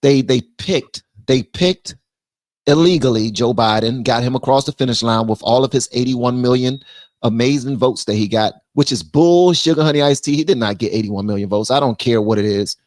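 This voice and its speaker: A man's voice: mid-range voice, moderate pace, no room sound. Male stream host, blunt, slangy, dry close sound. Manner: animated, drops into mock voices. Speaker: livestream host. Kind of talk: political commentary chat.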